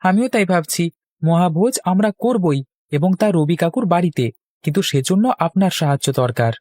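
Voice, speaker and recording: Male actor as village lad, high voice, eager, emphatic, earnest little schemer, cartoon, dry close sound. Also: expressive, moderate pace, a little mellow, no echo. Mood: determined. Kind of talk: cartoon voice acting.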